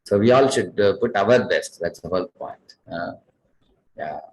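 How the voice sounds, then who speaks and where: Low-voiced man. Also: animated, unhurried, rough recording. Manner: gentle, asks the audience questions and adds "huh?" often. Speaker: visiting speaker giving a talk. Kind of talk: storytelling sermon.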